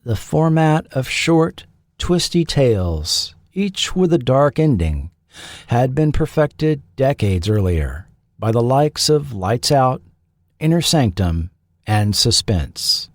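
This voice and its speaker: A man hosting and narrating a scripted documentary in a measured, dramatic storyteller manner. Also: medium pitch, big pitch swings, no echo, clean studio sound. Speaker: podcast host and narrator.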